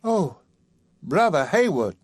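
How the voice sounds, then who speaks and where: A man's voice: on the high side, very animated, slow pace, dry recording, studio quality, voice pushed up, full character voice. A coy woman, as a man puts her on. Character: shy, modest woman cook being courted.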